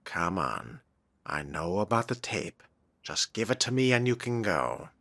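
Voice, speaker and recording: A man's voice: sits low, big pitch swings, unhurried. A man role-playing in character through a video game, muttering dry, sardonic commentary to himself. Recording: loud music behind the voice.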